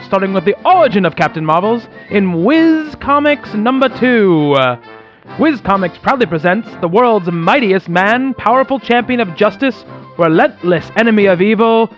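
A man hosts and narrates in the style of an old radio serial. His voice sits high, with a theatrical announcer delivery and music playing beneath it. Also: highs cut off. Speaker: podcast host and narrator.

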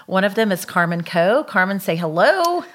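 A woman's voice: medium pitch, big pitch swings, moderate pace, fairly bright tone. A woman hosting a church podcast, enthusiastic and effusive as she introduces her guests. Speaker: podcast host. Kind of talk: podcast conversation.

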